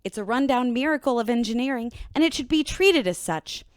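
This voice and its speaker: An actress playing a stern, exasperated chief engineer in a sci-fi radio drama, scolding and sarcastic. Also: female voice, a little high, lively intonation, brisk, no echo, studio quality, natural voice.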